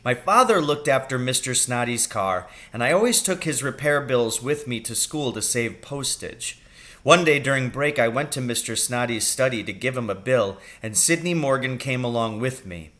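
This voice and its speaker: A man reading a children's story aloud as a teacher would, with expressive narration. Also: medium pitch, moderate pace.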